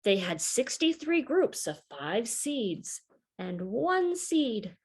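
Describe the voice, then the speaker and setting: A woman reading a children's picture book aloud in an expressive, deliberate storytelling manner. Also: on the high side, breathy edge. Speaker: storybook reader.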